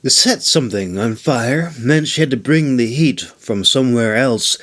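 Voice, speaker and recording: A man reading his own fantasy novel as a scripted audiobook narration, with deliberate, measured phrasing. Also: medium pitch.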